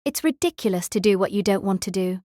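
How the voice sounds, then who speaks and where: Woman as an expressionless, quiet teenage girl. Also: medium pitch, fast talker, dry recording, studio quality, close to an everyday voice. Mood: blunt. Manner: flat, terse, deadpan. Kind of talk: anime-style audio drama acting.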